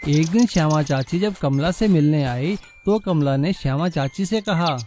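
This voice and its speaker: A man narrating an animated moral story in a steady, measured way. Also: fairly high pitch, faint music behind.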